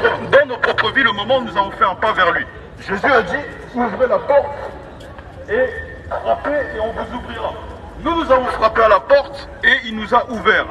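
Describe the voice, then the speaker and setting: Male street evangelist, high voice, declamatory and repetitive, megaphone heard from far off amid crowd bustle. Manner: declamatory, repetitive, halting restarts. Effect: megaphone amplification. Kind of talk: open-air evangelistic preaching. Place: outdoors in a busy urban public space. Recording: megaphone voice outdoors, distant, echoing, street bustle. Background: street crowd and vehicles.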